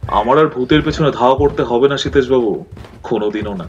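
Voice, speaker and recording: A man voicing a mild gentleman, grimly done with chasing ghosts, in a horror story, with slow, weighty delivery. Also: medium pitch, muffled recording, a little hiss or hum, close to an everyday voice. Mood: grave, resolved.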